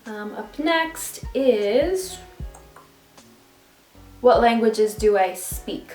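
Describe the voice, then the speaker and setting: A woman's voice: fairly high pitch, animated, drawn-out words, a little mellow. A woman in her twenties from New York City, chatting casually and rambling with asides in a get-to-know-me vlog recorded on a phone at home. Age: 20s. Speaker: vlogger. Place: at home, indoors. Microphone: phone camera. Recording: roomy room with faint background music.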